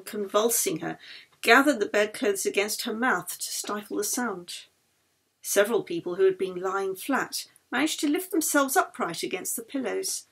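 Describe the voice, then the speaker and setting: A woman reading a novel aloud as its author, in an expressive storytelling manner that brings the dialogue to life. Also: mid-range voice, husky. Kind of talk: scripted narration, audiobook reading.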